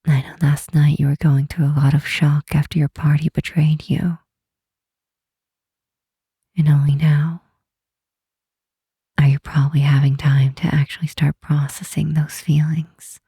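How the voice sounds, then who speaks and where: A woman voicing a protective, commanding yet tender Demon Queen in an ASMR roleplay, speaking softly and breathily, often whispering, in a soothing, gently insistent way. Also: sits low, flat delivery, a little slow, pauses often, husky, dry recording, studio quality, full character voice. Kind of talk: ASMR roleplay, intimate comforting monologue.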